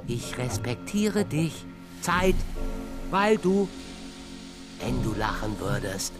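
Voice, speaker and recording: A man's voice: mid-range voice, creaky. An actor playing an ancient, spiteful, scornful old man in a German radio drama, theatrical and volatile. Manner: theatrical, swinging from exclaiming to hissed whispers.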